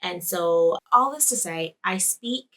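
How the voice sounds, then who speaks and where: A chatty woman podcaster whose first language is Spanish, her voice bright and forward, sitting a touch low in pitch. She rambles through her story, laughing now and then and correcting herself mid-sentence. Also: expressive, slow, rough recording. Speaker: podcast host. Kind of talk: casual travel recap.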